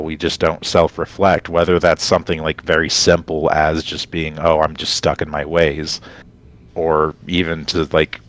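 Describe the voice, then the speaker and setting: Low-voiced man thinking aloud. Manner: halting, rambling, thinks aloud. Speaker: podcast co-host. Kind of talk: casual reflective conversation.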